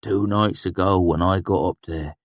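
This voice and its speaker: A man's voice: low-pitched, fairly even intonation, moderate pace, no room sound, clean studio sound, natural voice. A man voicing an irritable old rustic swineherd with blustery, countrified speech. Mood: spooked.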